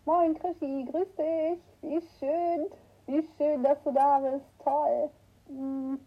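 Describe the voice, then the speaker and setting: A woman with a cold hosting a casual morning livestream, greeting her viewers by name and clearing her throat often. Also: high voice, steady tone, slow pace, muffled recording. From northern Germany. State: has a cold, hoarse at times. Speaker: livestream host. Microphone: new microphone that is not working right.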